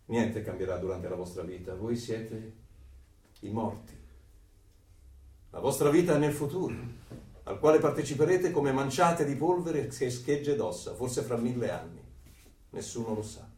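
An actor playing a solemn, fatalistic resistance man, speaking in a grave, measured way in an Italian radio drama. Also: medium pitch, darkish tone, natural voice. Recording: very echoey, distant, music behind.

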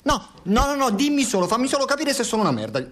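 An actor playing a frustrated, foul-mouthed scientist in an Italian radio drama, sarcastic and given to profane ranting. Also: male voice, extremely high pitch, sing-song, fast talker, a bit bright, exaggerated voice. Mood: angry, sarcastic. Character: frustrated, foul-mouthed male scientist turned reluctant playwright. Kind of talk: Italian radio theatre acting.

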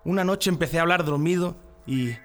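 A man's voice: fairly high pitch, a little fast, fairly bright tone, lightly acted. A man with a Spanish accent from Spain playing a naive, loyal party man in jail, earnest and confiding, in a radio drama. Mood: earnest, confessing. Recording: music behind the voice.

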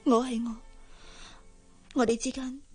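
A woman's voice: fairly high pitch, level tone, unhurried, mellow, slightly rough, soft background music. A woman with a Hong Kong Cantonese accent playing an earnest, lovesick young maid in a radio drama, deferential and wistful. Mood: yearning, resigned.

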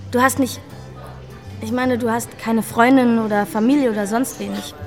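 Actress as a high-voiced, brash young drifter woman. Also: steady tone, natural voice. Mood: stunned, awkward. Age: young adult. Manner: slangy, halting, casual. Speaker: actor. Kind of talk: naturalistic noir radio drama dialogue. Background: music behind the voice.

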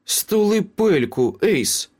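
A man's voice: high-pitched, very animated, takes it slowly, a little mellow, slightly rough, voice pushed up, exaggerated voice. A man voicing a tough, angry young woman in a storybook, with curt, menacing demands.

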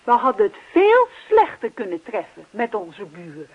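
An actress playing a brisk, exasperated wife who scolds and dismisses her husband in a radio comedy play. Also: a little high, huge pitch swings, breathy edge, exaggerated voice. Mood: reproachful. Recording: muffled old radio play recording.

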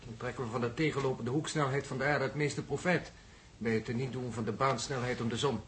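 A man's voice: medium pitch, steady tone, fast talker, fairly bright tone, lightly acted. An actor playing a cool, precise astrophysicist crewman in a 1960s radio drama, with a dry manner. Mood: matter-of-fact. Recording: noisy old radio recording.

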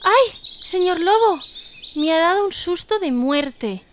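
A woman's voice: very high-pitched, lively intonation, slow, slight background noise, exaggerated voice. A woman playing an innocent, polite little girl in an audio fairy tale, earnest and trusting. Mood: startled.

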